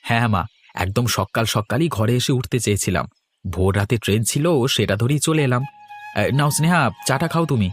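A man's voice: mid-range voice, fairly quick, lightly acted. A man voicing an affectionate, easygoing grown son in a Bengali cartoon story, with a Bengali accent. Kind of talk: Bengali animated story voice acting. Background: plucked-string music under the voice.

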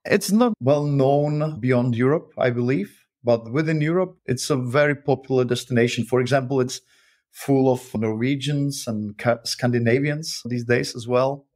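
A man's voice: mid-range voice, normal speed, darkish tone. A man from the Republic speaking English, talkative and friendly as a guest on an interview podcast. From Czech Republic. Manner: friendly, talkative, with frequent uh fillers and restarts. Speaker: guest, author and freelancer. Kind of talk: interview conversation.

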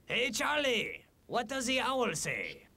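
Lively French-accented talking snowdrift. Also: male voice, very high-pitched, slow, exaggerated voice. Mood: joking. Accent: exaggerated French. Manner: theatrical, playful, addresses listener directly. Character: jovial talking male snowdrift, chatty joking storyteller. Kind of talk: comic character storytelling.

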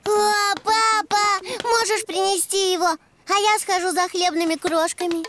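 Little cartoon donkey kid, super high voice, slow childlike talk, kind and eager, music behind. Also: female voice, little pitch movement. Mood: concerned, eager. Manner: bright-eyed, childlike, exclamatory.

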